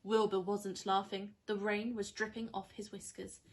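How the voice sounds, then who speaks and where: A woman reading a children's story aloud like a primary school teacher, with expressive, dramatised narration. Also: mid-range voice, normal speed.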